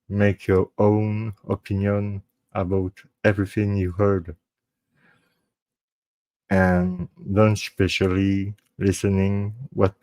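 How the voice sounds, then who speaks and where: A Belgian man with a French accent, native French speaker, talks slowly in a fairly low voice. His English comes out halting and full of restarts, earnest and friendly, with bits of French mixed in. The sound is close and dry, with no room echo. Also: darkish tone. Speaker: host of a true crime live show. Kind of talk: casual live-stream sign-off.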